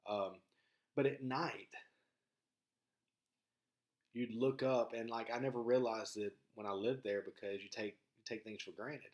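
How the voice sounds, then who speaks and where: A man from rural Mississippi with a Southern accent, talking casually to viewers in a laid-back, halting, folksy way as a vlog host. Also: fairly low pitch, fairly even intonation. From rural Mississippi, southern United States.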